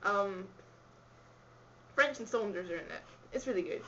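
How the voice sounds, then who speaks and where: A woman's voice: mid-range voice, expressive, normal speed, pushed and bright, muffled recording, slight background noise. Giggly woman with a slight Scottish accent. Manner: chatty, giggly, rambling. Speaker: vlogger. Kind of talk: casual chat answering a questionnaire.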